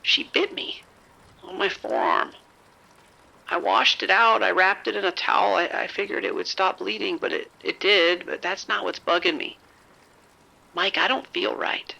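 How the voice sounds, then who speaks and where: An actor playing a frightened, bitten man who is falling sick, halting and whispery in a horror audio drama. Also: high-pitched, fairly even intonation, creaky, sharp tone, full character voice, rough recording. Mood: anxious, sickly. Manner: halting, whispery, repeating himself. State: sick, weak, faltering. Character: frightened, sickening bitten man, unravelling and rambling.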